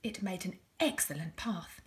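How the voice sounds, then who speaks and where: A woman voicing a cheerful, kind-hearted male giant in a storybook reading, with a bright, sing-song delivery pitched above her own voice. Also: normal speed, close to an everyday voice. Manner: bright, sing-song, louder and higher than her narration. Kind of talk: storybook character voice.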